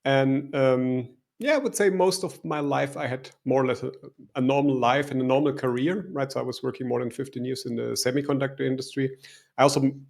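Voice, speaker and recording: A man's voice: mid-range voice, fairly even intonation, a little fast, gravelly, darkish tone. A middle-aged man from Germany with a German accent, a life coach answering interview questions in a reflective, somewhat hesitant way.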